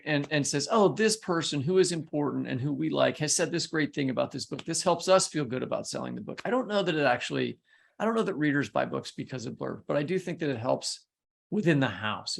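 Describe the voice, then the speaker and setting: A man's voice: medium pitch, creaky. A man co-hosting a podcast, talking casually and informally with blunt, opinionated delivery. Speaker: writer and podcast co-host.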